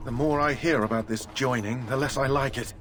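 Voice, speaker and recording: A man's voice: medium pitch, fairly quick, fairly bright tone, a little hiss or hum, close to an everyday voice. A man playing a fearful, complaining recruit warrior in a fantasy game, anxious and aggrieved in scripted dialogue.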